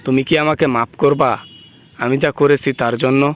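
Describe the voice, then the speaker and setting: A man's voice: mid-range voice, steady tone, brisk, clear, resonant tone, lightly acted. A man playing a frightened, guilty prisoner in an amateur comic phone skit, stammering and pleading with exaggerated complaints. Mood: regretful. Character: frightened male prisoner, guilty, whining, woken by dream caller.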